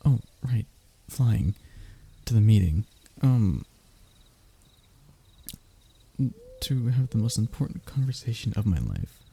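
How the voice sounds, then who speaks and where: Man playing a husky, dark-voiced lovesick royal heir. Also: on the low side, big pitch swings, normal speed, full character voice. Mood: flustered, eager. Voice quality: husky, breathy. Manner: stammering, hesitant, breathy emotional pleading. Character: lovesick young royal heir, earnest, nervous, devoted. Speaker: voice actor in audio roleplay. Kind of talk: intimate ASMR romance roleplay acting.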